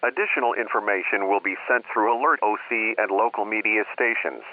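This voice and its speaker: A man with a fairly low voice delivers a scripted emergency bulletin. He sounds formal and official, heard through a thin, phone-like alert broadcast. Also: a little fast, heavy background noise. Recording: tinny phone-like radio alert.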